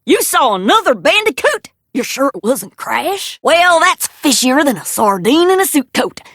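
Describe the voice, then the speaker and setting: An actress playing a folksy, plainspoken adventure guide in a cartoon game, with a drawling American Southern accent. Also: female voice, high-pitched, very animated, moderate pace, a bit bright, no echo, clean studio sound, exaggerated voice. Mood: folksy, wary. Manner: folksy, drawling, colourful country sayings. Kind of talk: cartoon video game voice acting.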